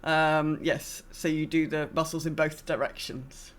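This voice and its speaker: Chatty, halting woman podcast host. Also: sits low, level tone. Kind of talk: casual podcast chat.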